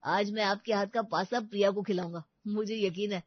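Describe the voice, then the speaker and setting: A woman's voice: mid-range voice, steady tone, brisk, dry recording, dull audio, natural voice. A woman voicing an eager, lovestruck young college man in a story, earnest and boyish. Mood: happy.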